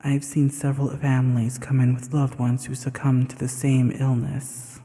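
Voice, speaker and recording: A man playing a sly, teasing, self-assured sorcerer in an intimate roleplay audio drama, lingering smoothly on his words. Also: medium pitch, completely flat delivery, normal speed, dark tone, natural voice. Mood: matter-of-fact, grave. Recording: long hall-like reverb on voice.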